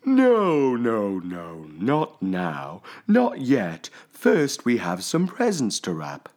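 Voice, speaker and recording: A man voicing a sleepy, patient father grizzly bear in a storybook, with weary, drawn-out emphasis. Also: mid-range voice, huge pitch swings, a little mellow, voice pushed up, full character voice. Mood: groaning, weary. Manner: mumbling, yawning, drawn-out emphasis.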